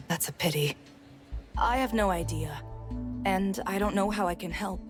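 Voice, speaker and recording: A weary, aloof woman neighbor in a game. Her lowish voice moves very slowly with sighing, dismissive swings in pitch. Music is clearly heard behind. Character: weary, aloof female neighbor, reluctant to help. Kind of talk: video game voice acting.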